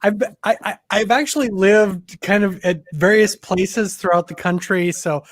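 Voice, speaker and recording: High-voiced giggly male co-host. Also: normal speed, no echo. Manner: giggly, stumbling restarts. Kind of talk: casual live-stream chat.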